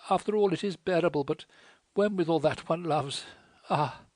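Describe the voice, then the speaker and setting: A man voicing a tearful young French woman who pleads in a rapid, sighing way. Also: a little high, darkish tone, no echo, pitched above the performer's own voice, lightly acted.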